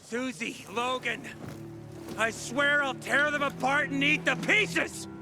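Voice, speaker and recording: Unhinged, very high-pitched woman. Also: slow pace, music in the background. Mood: vengeful anguish. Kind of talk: video game acting.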